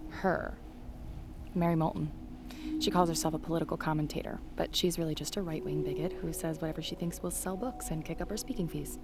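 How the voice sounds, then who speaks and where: Low-voiced, brash female reporter. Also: fairly even intonation, fairly quick, noisy background. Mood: sardonic. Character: brash, ambitious female political reporter, blunt and sardonic. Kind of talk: naturalistic audio drama acting.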